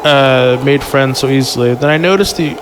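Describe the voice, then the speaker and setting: A man's voice: medium pitch, moderate pace, background noise. A man reading a story aloud informally as a podcast host, stumbling over lines and tossing in joking asides.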